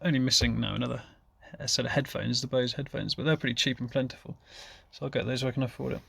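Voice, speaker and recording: A man's voice: medium pitch, fairly even intonation, fast, gravelly, a bit bright, breathy edge, dry recording. A man talking casually and off the cuff as he presents a hands-on DIY demonstration, informal and self-deprecating. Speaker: car hobbyist presenting a DIY video.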